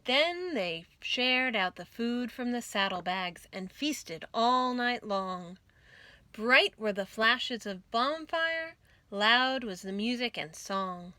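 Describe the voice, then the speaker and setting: Female storytime reader, measured pace, bright and expressive, rhythmic children's verse. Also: fairly high pitch, dry recording. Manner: deliberate, rhythmic verse reading.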